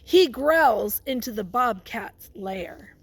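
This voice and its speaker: A woman's voice: on the high side, expressive, gravelly, husky. A woman teacher reading a picture book aloud to young children, stopping to ask them questions and prompt them. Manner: pauses to question and prompt listeners.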